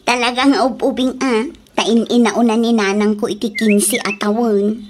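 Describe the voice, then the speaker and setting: Woman as a high-voiced young Ilocano daughter. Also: steady tone, normal speed, noisy background, lightly acted. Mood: matter-of-fact. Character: young village daughter defending her admired mother. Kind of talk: comedic radio drama acting.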